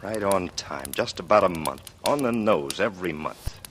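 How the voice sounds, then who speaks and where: A man's voice: sits low, expressive, normal speed, a bit bright. An actor playing a grim, worried man bent on vengeance, in a 1940s radio drama. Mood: anguished, bitter. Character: grim, worried male friend bent on avenging murders. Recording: noisy old radio broadcast recording.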